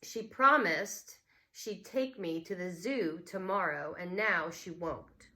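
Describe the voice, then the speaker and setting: A woman's voice: lowish voice, slow, pushed and bright. A woman voicing a stubborn, indignant schoolboy in a storybook, complaining insistently. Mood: insistent accusing.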